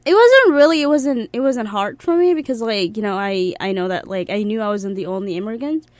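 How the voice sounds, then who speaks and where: A woman's voice: mid-range voice, lively intonation, a little fast, no echo. Young woman from Egypt, informal and giggly. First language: Arabic. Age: young adult. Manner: informal, giggly, peppers talk with "like". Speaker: interview guest. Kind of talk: casual chat.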